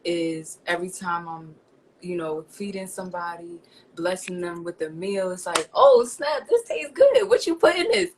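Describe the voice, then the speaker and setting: New woman guest, expressive and spiritual, lowish voice, video call. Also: normal speed, noisy background. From New York, United States. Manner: spiritual, expressive, talks in lofty phrases. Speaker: guest, chef and seasoning maker. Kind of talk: interview answers.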